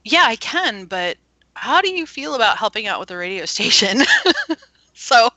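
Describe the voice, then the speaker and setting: A woman's voice: fairly high pitch, sing-song, moderate pace, bright, forceful tone, dry recording, poor audio. A woman who founded an internet radio station, chatting casually as an interview guest and laughing often while she tells her story.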